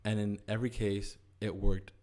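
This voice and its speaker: A man's voice: on the low side, moderate pace. Enthusiastic man, Spanish native speaker. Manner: animated, enthusiastic explainer. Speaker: company executive guest. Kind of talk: casual podcast conversation.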